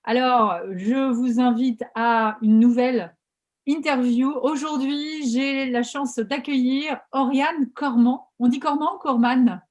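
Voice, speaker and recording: This is a woman host with a somewhat high voice who talks chattily and with energy while she interviews a guest. She leans on fillers and restarts, and she is speaking over a video call. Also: fairly even intonation, slow pace, darkish tone. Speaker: podcast host and coach. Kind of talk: casual interview hosting.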